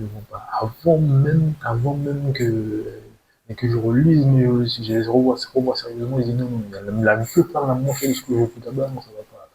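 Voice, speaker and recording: A young man speaks off the cuff in an earnest personal monologue, hesitating and often repeating words as he goes. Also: medium pitch. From French Guiana. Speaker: video creator telling his own story. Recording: steady hiss, noisy amateur recording.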